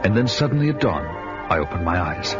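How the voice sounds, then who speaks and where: A grim barbarian warrior recounts his saga of vengeance in a lowish voice, solemn and deliberate. The radio drama sound is dull, with loud music behind him. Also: sing-song. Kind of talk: dramatic first-person radio narration and acting.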